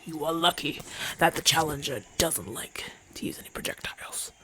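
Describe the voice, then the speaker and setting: Guy doing a cocky hurt gladiator for asmr roleplay, grumbly and bossy, forceful bright voice, kinda flirty. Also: fairly high pitch, normal speed, low-quality recording. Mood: grudging. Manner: brusque, demanding, grumbling, sighing through pain. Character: gruff, proud, impatient, flirtatious champion gladiator in pain. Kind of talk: ASMR audio roleplay acting.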